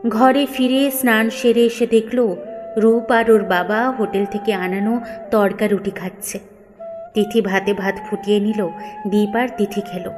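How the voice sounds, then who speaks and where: A woman's voice: medium pitch, steady tone, a little slow, in a large hall. A woman storyteller giving a scripted, emotional narration of a family story. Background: music.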